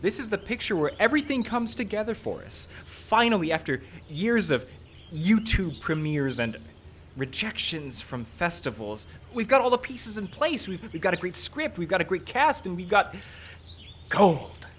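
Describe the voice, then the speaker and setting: A man playing a scheming, evasive would-be filmmaker with stammering, excitable patter in a live radio-style comedy. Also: high voice, moderate pace. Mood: excited pitching. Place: outdoor park stage. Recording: outdoor live show with background noise. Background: birds and outdoor ambience.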